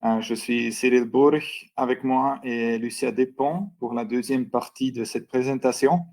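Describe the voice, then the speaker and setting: A man presenting a webinar in French, which is not his first language, with frequent pauses and restarts. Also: medium pitch, steady tone, a little mellow. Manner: halting, frequent hesitations and restarts. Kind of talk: online presentation.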